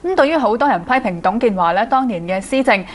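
A young woman co-hosting a TV studio chat in a steady, even delivery. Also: fairly high pitch, quick pace. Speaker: TV host.

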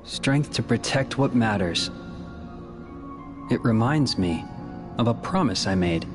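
A man playing a brooding, resolute young warrior in a video game cutscene, calm, measured and earnest. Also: fairly low pitch, over music. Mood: reflective.

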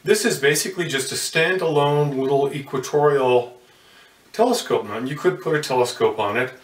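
A man's voice: fairly high pitch, normal speed. An older man giving a hands-on demonstration of telescope mounts, folksy and full of fillers and self-corrections. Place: indoor room in front of a curtain. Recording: roomy, slightly distant sound.

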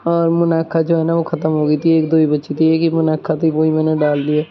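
A woman's voice: low voice, completely flat delivery, a little fast. A woman, chatting casually as a home vlogger. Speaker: village vlogger. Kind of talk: casual home vlog chat and narration. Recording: muffled phone audio with clear background noise.

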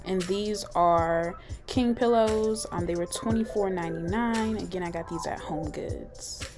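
A young woman with a slightly low voice, chatting in a relaxed voice-over for her home vlog. She talks easily and loosely, with plenty of "like" and "um". Music plays clearly behind her. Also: unhurried. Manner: chatty, relaxed, lots of likes and ums. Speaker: vlogger. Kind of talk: casual voice-over chat. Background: clear background music.

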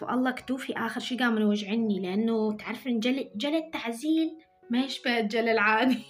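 A young woman with an Iraqi Arabic accent, chatting to her viewers while she cleans her home kitchen, recorded by a camera on the counter. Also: high-pitched. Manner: chatty, talking to viewers while doing housework. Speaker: vlogger. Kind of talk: casual chat while cleaning.

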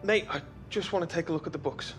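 A man acts as a young English footballer in a video game, high-voiced and cheeky. He chats casually, a bit hesitant, cocky but good-natured, with prominent music behind him. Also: quick pace, natural voice. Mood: placating. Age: 20s. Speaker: professional footballer. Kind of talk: video game cutscene acting.

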